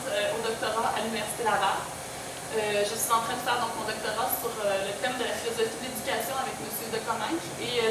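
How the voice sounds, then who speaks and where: A woman, a candidate hosting the press conference, speaking hesitantly with many fillers. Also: on the high side. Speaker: candidate and event host. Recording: echoey, distant, noisy.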